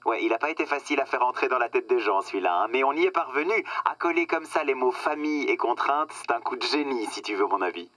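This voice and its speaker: An actor playing a smug, condescending corporate boss on the phone in a satirical radio drama. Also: male voice, medium pitch, lively intonation, fast, few pauses, fairly bright tone, lightly acted. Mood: smugly cheerful. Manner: patronizing, self-satisfied, hesitating with filler words.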